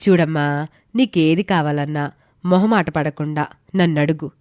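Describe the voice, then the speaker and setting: A woman's voice: deep voice, a little slow, a little mellow, no echo, clean studio sound, voice pushed down. A woman voicing a kindly, insistent village aunt with a motherly, coaxing manner in a moral story. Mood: caring, insistent.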